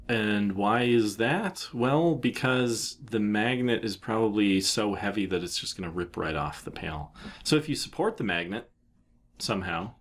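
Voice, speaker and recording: A man presenting a software tutorial in a casual, unscripted way, thinking aloud as he explains what he is doing. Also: on the low side. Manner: informal, thinking aloud with trailing phrases.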